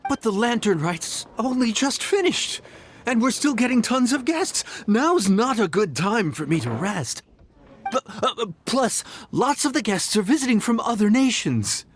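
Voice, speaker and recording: An actor playing a proud, stubborn inn chef in a video game, flustered and defensive, with hesitant stammers. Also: male voice, very high-pitched, big pitch swings, unhurried, slight background noise, full character voice. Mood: stubborn, worried. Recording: music playing behind the voice.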